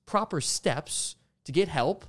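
A man's voice: a little high, animated, slow pace, a bit bright. A man hosting a casual interview, speaking enthusiastically and often stammering over the first sounds of words. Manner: stammers, repeating sounds and syllables. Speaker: podcast host interviewing a guest.

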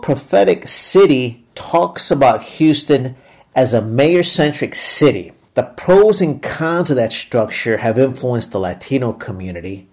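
A man hosting a radio show, reading a formal introduction of his guest in a measured way. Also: medium pitch, darkish tone.

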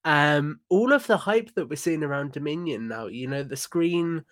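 Higher-voiced man chatting enthusiastically. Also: expressive, moderate pace, fairly bright tone, no room sound, clean studio sound. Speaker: podcast guest. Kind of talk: casual enthusiastic chat.

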